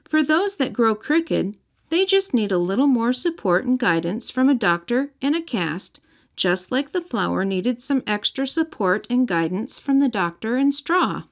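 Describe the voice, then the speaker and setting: Animated woman reading a children's story. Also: fairly high pitch, unhurried. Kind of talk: expressive read-aloud storytelling for young children. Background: music.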